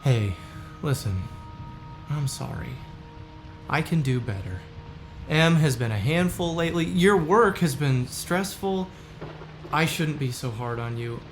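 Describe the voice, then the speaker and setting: An actor playing a frustrated, earnest husband in a musical audio drama, speaking in a pressing, wounded way. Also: male voice, a little high, expressive, a little slow, pauses often, music in the background. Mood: earnest, apologetic.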